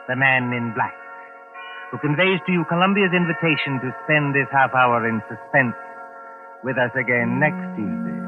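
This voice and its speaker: A man announcing a suspense series in formal, deliberate, ominous 1940s radio style, reading scripted credits. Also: medium pitch. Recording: muffled vintage radio recording. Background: dramatic music.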